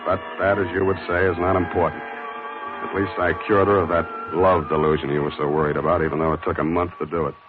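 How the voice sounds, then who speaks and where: Muffled old radio show, guy playing tough american detective, rattles lines off quick, cynical and wry. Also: sits low, little pitch movement, music in the background, natural voice. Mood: weary, dry. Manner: brusque, cynical, wisecracking. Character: wry, hard-boiled male private detective. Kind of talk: 1940s American radio drama acting. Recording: dull, lo-fi 1940s radio recording.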